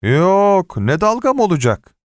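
A man's voice: high voice, very animated, slow, no echo, clean studio sound, voice pushed up, full character voice. A man voicing a meek, passive man who is easily led, with a hesitant, resigned manner, in an audiobook story. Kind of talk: audiobook character dialogue.

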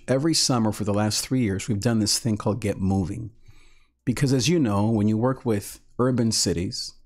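A middle-aged man, a pastor, talking animatedly to livestream viewers from a small home office into a close studio mic. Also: fairly low pitch. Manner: animated, gestures a lot with his hands while talking. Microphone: large studio mic on a boom arm, close.

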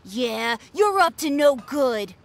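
A woman's voice: sits high, sing-song, slow, a little hiss or hum, heavily acted. An actress voicing a cocky, suspicious little boy who acts the mighty warrior in an anime-style video game, brash and blustering. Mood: indignant, boastful.

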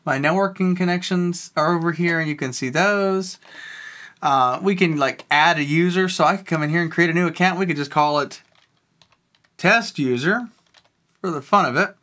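Tech video host, casual walkthrough, bright tone, thinks aloud, home setup, desk mic. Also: mid-range voice, normal speed. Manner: rambling, thinks aloud while clicking. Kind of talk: casual screen-recorded software walkthrough.

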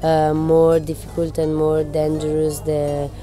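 A woman's voice: low voice, completely flat delivery, warm tone, faint music behind. A woman interviewer asking simple questions outdoors in hesitant, non-native English. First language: not English. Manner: hesitant, halting phrasing. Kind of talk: informal field interview questions. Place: outdoors on a roadside.

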